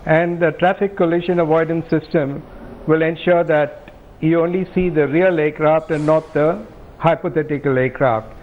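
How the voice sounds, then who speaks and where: A man's voice: a little high, steady tone, moderate pace, narrow, tinny audio, slight background noise. A man with an Indian accent explaining technical matters plainly as an expert guest in an interview. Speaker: aviation safety expert guest.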